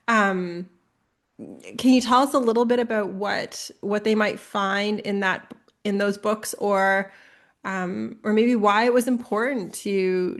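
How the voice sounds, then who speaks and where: Encouraging woman podcast host. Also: medium pitch. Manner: encouraging, frequent backchannels. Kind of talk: interview questions and listening.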